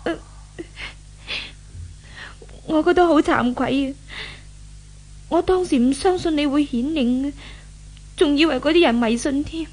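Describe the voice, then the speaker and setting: A woman acting a distressed, humble young woman in a 1970s radio drama, with a Hong Kong Cantonese accent and theatrical, breathy exclamations. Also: sits high, a little slow, long pauses, a little mellow. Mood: grateful, humble.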